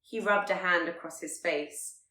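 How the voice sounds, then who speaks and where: A woman reading literary fiction aloud as an audiobook narrator, with expressive delivery. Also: lowish voice, measured pace, reverberant.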